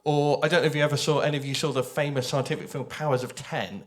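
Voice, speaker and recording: Male panel speaker, mid-pitched, conversational and animated, explaining creative work to an audience. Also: quick pace. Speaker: audio drama writer on a panel. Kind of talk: informal panel talk to an audience.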